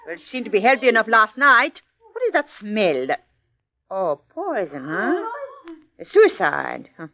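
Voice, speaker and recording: An actor playing a callous Nazi prison officer, curt, commanding and sneering, in 1940s radio drama style. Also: male voice, high voice, big pitch swings, a little slow, pushed and bright, a little hiss or hum, full character voice. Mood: cold, brusque.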